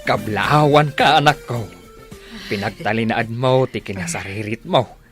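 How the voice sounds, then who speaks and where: A man's voice: mid-range voice, a little slow, fairly bright tone, faint music behind, lightly acted. An actor with an Ilocano accent playing a proud, tender father in a radio drama. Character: proud, tender father praising his hardworking son.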